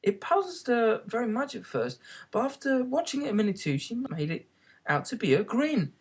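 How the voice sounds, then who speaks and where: An audiobook reader narrating a children's story in an expressive style. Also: mid-range voice, normal speed, husky.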